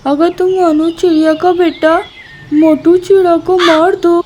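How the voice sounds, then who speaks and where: Someone doing a sly jealous cartoon crow guy, high voice, slow n persuasive. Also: flat delivery, background noise.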